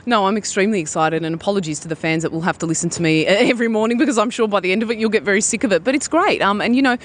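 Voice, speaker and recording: A woman's voice: mid-range voice, rapid-fire. A woman football broadcaster answering interview questions fluently and earnestly.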